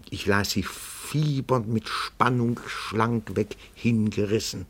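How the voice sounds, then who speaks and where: Elderly amateur sleuth, very low gravelly voice, obsequious, pedantic radio drama delivery. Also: male voice, heavily acted. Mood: reverent, wistful. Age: older. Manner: deferential, fussy, long-winded, formal. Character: meek, pedantic old bookkeeper turned private detective, reverent admirer. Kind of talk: radio play acting.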